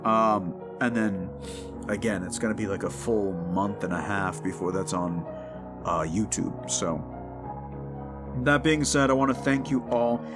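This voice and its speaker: A man's voice: fairly low pitch, measured pace. A man hosting his audio roleplay channel, giving a casual, rambling update in an informal, conversational way. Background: instrumental music.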